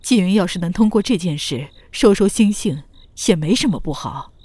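A woman's voice: on the low side, animated, moderate pace, dark tone, husky, dry recording. A woman playing an affectionate but firm older grandmother and mother-in-law in a Chinese period audio drama, motherly and lightly scolding. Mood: fond, forgiving. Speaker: voice actor in an amateur audio drama.

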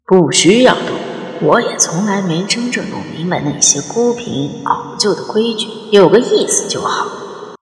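A woman's voice: medium pitch, lively intonation, measured pace, reverberant, in a large hall, natural voice. Woman voicing a jovial middle-aged dad over music. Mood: cheerful, coaxing. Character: middle-aged father, jovial, playful, boastful amateur poet. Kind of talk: audio drama character dialogue.

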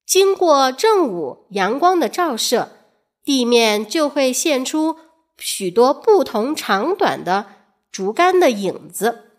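A woman narrates an educational story for children. Her voice sits a little high and moves up and down in a very animated way. She addresses young listeners directly, drawing them in with questions. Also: takes it slowly.

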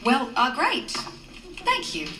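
A woman's voice: high-pitched, big pitch swings, takes it slowly, heavy background noise, full character voice. An actress playing a bossy, controlling suburban committee mother in a TV drama, falsely polite and formal, with an American accent. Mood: brisk, doubtful. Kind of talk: TV drama acting. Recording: echoey distant room, music behind.

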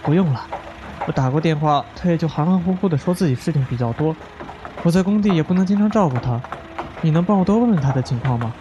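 A man's voice: on the high side, animated, quick pace, muffled recording, noisy background, natural voice. A young man playing a busy, caring boyfriend who works on a building site, in an audio drama. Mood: concerned, hurried. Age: young adult. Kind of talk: Chinese audio drama acting.

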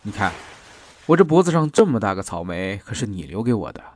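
Casting: male actor as tender-hearted, teasing male lead for radio drama, lowish register, very animated intonation. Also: a little fast. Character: protective, teasing, tender-hearted young man in love. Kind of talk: audio drama acting.

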